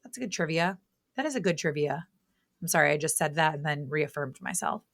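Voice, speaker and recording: A woman in her thirties, a guest on a podcast, chatting casually in a loud, animated way and laughing a lot. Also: low-pitched, a little fast, dry recording, clean studio sound. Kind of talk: casual chat.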